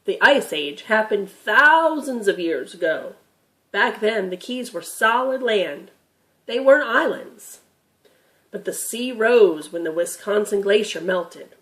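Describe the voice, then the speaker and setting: A woman's voice: medium pitch, lively intonation, slow pace, a bit bright, low-quality recording. A woman voicing a patient, knowledgeable grandfather who explains things emphatically in a children's storybook reading. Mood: patient, explanatory.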